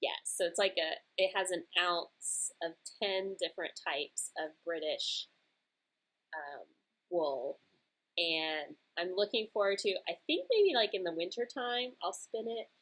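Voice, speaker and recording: A young woman with a lowish voice and a faint Southern lilt chats casually through listener questions. She rambles, laughs and circles back to her points. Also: animated, a little slow, a bit bright, poor audio. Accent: mild American Southern. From Georgia, USA. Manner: chatty, rambling, laughs easily. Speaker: knitting podcast host. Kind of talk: casual Q&A chat.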